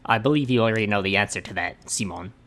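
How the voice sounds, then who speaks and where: A man playing a calm, sly, protective bar boss in a fan-dubbed visual novel, speaking in a measured, polite way. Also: fairly low pitch, faint music behind, natural voice. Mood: reassuring.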